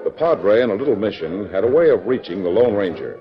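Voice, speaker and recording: A man's voice: lowish voice, sing-song, a little fast, over loud music. A man narrating a western serial dramatically in 1940s radio announcer style. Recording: muffled vintage radio recording.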